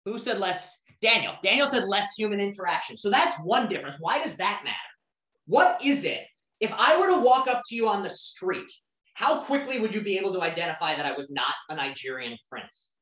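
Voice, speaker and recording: A woman's voice: medium pitch, very animated, fairly quick, a bit bright. Lady teacher on a video call, sounds far off in an echoey room, chatty, keeps asking questions. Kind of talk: casual online class lecture. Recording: roomy, distant from the mic.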